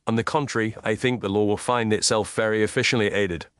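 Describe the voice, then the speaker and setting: A man's voice: on the low side, steady tone, fast, a bit bright, clear, resonant tone, no room sound, clean studio sound, natural voice. A man playing a shrewd, self-assured Victorian detective with a precise, commanding, analytical delivery. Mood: cool, assured.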